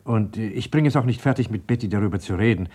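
A man playing a troubled doctor and evasive suspect in a German crime radio drama, speaking in a hesitant, guarded way with a husky voice. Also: lowish voice, quick pace, dark tone. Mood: uneasy, hesitant.

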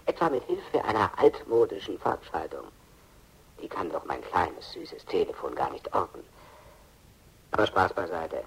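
A man's voice: sits low, very animated, moderate pace, gravelly, slightly rough, full character voice, poor audio. An actor playing a menacing extortionist in a radio crime drama, mocking his victims with savoured mock-politeness. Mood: mocking menace. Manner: sardonic mock-politeness, savouring each threat.

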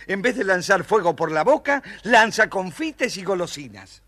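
An actor playing a cheerful, cocky young goatherd, telling things playfully in a children's audio story. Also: male voice, high voice, sing-song, moderate pace, a bit bright, exaggerated voice. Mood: boastful. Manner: boastful, playful.

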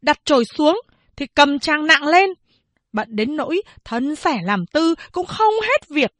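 A woman's voice: sits high, sing-song, a little slow, a bit bright, no echo, pitched above the performer's own voice, heavily acted. A woman voicing a scolding, furious old village mother-in-law in an audiobook story, berating and sarcastic. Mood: scornful nagging.

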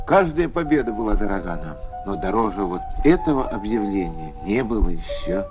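Expressive man narrating solemnly over music. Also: on the low side, brisk, dull audio. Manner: dramatic, rhetorical, emphatic. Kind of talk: solemn scripted documentary narration for children.

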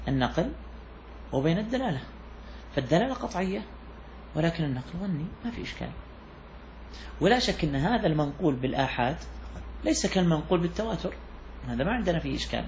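A man's voice: on the high side, creaky, a little mellow, lo-fi sound, slight background noise. A man teaching a class on a classical jurisprudence text, repeating key phrases and putting questions to his students. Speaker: sheikh teaching Islamic jurisprudence. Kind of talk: classroom lecture explaining a text.

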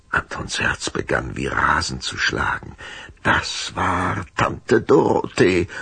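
Deep, gravelly male storyteller. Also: dry recording. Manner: suspenseful, expressive. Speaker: narrator. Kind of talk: dramatic scripted storytelling for a children's radio play.

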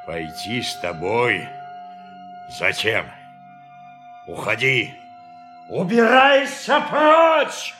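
Proud, loyal astronaut crewmate for radio drama: intense, slow and weighty, hugely dynamic pitch, faint music behind. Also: male voice, sing-song, heavily acted. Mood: outraged. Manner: intense, swinging from hushed to explosive. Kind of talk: Russian audio drama acting.

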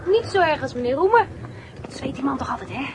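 An eager, chatty schoolgirl in a radio drama. The voice is very high, set in a clearly noisy scene. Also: expressive, fast. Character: bright-eyed, chatty teenage schoolgirl. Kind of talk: Dutch radio play acting.

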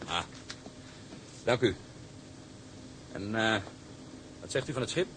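An actor playing an eager, deferential young ship's engineer in a Dutch radio drama, hesitant and apologetic. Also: male voice, lowish voice, expressive, slow pace, fairly bright tone, a little hiss or hum.

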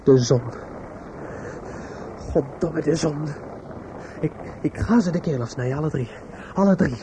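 An actor playing a desperate, distraught young man in a radio play, muttering in a halting, repetitive, obsessive way. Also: on the high side, noisy background, lightly acted. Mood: menacing.

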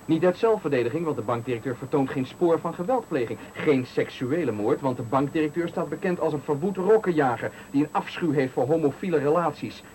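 An actor in a Dutch radio play playing a taunting, menacing stranger who speaks with deliberate, needling politeness in a Netherlands accent. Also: male voice, on the high side, lively intonation, darkish tone, natural voice. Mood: coldly accusing. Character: menacing, taunting male stranger cornering a man at night. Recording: faintly noisy 1980 radio recording.

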